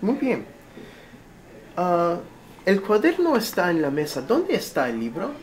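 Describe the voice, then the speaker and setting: A man teaching Spanish, patiently quizzing a student and gently correcting her answers in a small school room. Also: high-pitched, wildly swooping pitch, measured pace, rough recording. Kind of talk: oral exam questioning.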